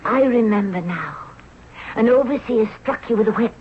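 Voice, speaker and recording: A woman's voice: a little high, animated, moderate pace, lightly acted. An actress playing a spirited, girlish and playful young Egyptian princess in a radio drama. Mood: wondering. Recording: dull, noisy old radio broadcast.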